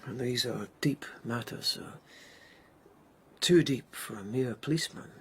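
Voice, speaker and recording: An actor playing a proud, restrained, stiffly formal police lieutenant in a radio drama. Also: male voice, medium pitch, measured pace, a little hiss or hum, natural voice. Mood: deferential, guarded. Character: proud, guarded police lieutenant at an imperial court.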